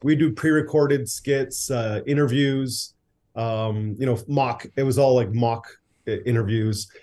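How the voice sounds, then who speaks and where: A man's voice: mid-range voice, steady tone, a little slow, darkish tone. A man, a director and writer, guesting on a podcast, easygoing, laughing often as he tells stories. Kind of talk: casual interview.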